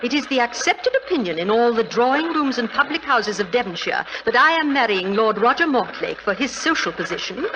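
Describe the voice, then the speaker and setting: Imperious society widow, noisy old recording. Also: female voice, a little high, sing-song, brisk, few pauses. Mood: frank, brisk. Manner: imperious, chatty, emphatic. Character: brisk, frank, wealthy English society widow, middle-aged. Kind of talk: 1940s radio acting.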